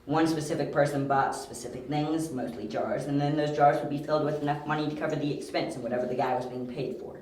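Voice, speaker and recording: A man's voice: a little high, steady tone, fast talker, few pauses, darkish tone, slight background noise, lightly acted. A man playing a young, confident detective in an amateur audio drama, explaining things precisely. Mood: thoughtful. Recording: roomy amateur home recording.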